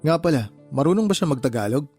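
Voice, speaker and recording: A man plays a helpful uncle in a Filipino radio drama. His voice is a little high for a man and his manner considerate. Light music sits behind him. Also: animated, fast talker, darkish tone, natural voice. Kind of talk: Tagalog radio drama acting.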